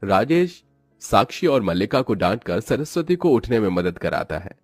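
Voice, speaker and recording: A man's voice: on the low side, very animated, normal speed, no echo, clean studio sound, faint music behind. A man narrating a cartoon moral story from a script.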